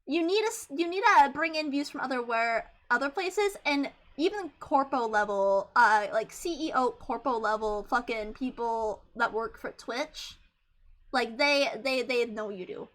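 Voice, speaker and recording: A woman in her twenties with a high-pitched voice, chatting casually on a livestream and swearing freely, rambling with many restarts. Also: animated, a little slow, a bit bright. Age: 20s. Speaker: VTuber streamer.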